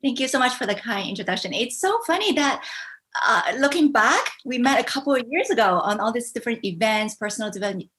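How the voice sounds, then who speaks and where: A middle-aged woman from Hong Kong, raised in Canada, chatting casually as a business coach guest on a video call podcast, enthusiastic and quick to laugh. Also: a little high, animated, fairly bright tone. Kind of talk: casual interview chat.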